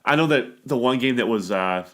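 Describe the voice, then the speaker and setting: A man's voice: mid-range voice, lively intonation, moderate pace, a bit bright. A man with a stuffy nose from a cold, chatting casually about sports as a podcast co-host, rambling and repeating himself with frequent laughs.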